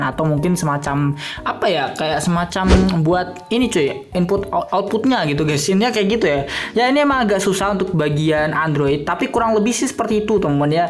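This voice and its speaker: A young man, chatting informally as he talks viewers through a tech tutorial. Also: high-pitched. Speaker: tutorial host. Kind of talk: casual screen-recorded tech tutorial. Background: background music.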